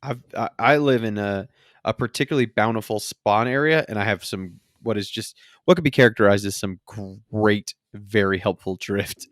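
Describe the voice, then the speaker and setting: Dude cohosting a gaming podcast, kinda low voice, casual joking banter, bit mock-grand, very dry audio. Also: male voice, normal speed, dry recording. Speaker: podcast co-host.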